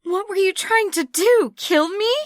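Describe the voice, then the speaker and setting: A woman's voice: extremely high pitch, unhurried, dry recording, studio quality, voice pushed up. A woman voicing a brash, flippant, habitually lying woman with a blunt, emphatic manner in an audiobook. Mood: furious, accusing. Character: brash, indignant gun-waving woman, a habitual liar.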